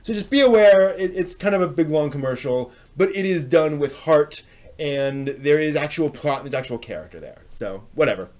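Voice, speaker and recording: A man hosting a live stream, giving unscripted, casual review commentary in a halting, explanatory way with frequent pauses and rephrasing. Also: fairly high pitch, expressive, a little mellow.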